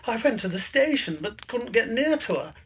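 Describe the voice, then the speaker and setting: A woman's voice: on the high side, very animated, moderate pace, slight background noise. A woman playing a fussy, anxious Baptist minister in a period audio drama, hesitant and polite. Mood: worried, confiding. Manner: hesitant, dithering, polite, murmuring little ums.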